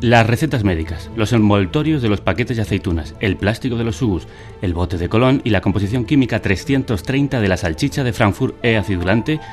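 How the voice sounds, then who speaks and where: Actor as declamatory male narrator, lowish register, reciting for radio theatre over a light music bed. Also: expressive, brisk, lightly acted. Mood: deliberate, enumerating.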